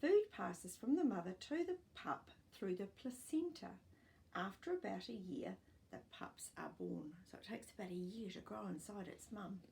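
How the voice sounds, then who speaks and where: An older woman hosting a children's storytime, reading non-fiction aloud with expressive, clear phrasing and explanatory asides for young listeners. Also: medium pitch, moderate pace, slight background noise, rough recording. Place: small furnished living room, indoors.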